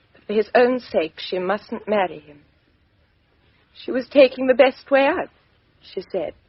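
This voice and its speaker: A woman's voice: mid-range voice, breathy edge, no room sound. An actress playing a proud, defiant, bitter young English woman in a radio mystery drama, with an English accent and clipped, intense, emotional delivery. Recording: dull, dry lo-fi radio recording.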